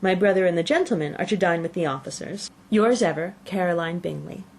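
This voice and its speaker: A woman's voice: fairly low pitch, fairly quick, darkish tone. Woman reading as a genteel, arch young lady. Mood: playful, polite. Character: genteel young lady writing a playful invitation. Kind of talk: letter read aloud in audiobook.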